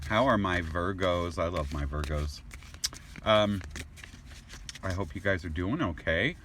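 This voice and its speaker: A man with a fairly low voice talks viewers through a tarot reading in a loose, casual way. He wanders, doubles back on his words and restarts phrases, and he reacts aloud as each card turns up. A faint hiss of noise sits under his voice. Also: animated, a little slow, fairly bright tone. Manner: rambling, repeats and restarts words; exclaims at cards as he turns them.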